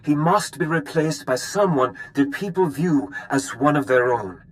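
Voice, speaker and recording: An actor playing a formal, courteous and idealistic count in video game dialogue. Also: male voice, fairly high pitch, animated, unhurried. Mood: grave, persuasive.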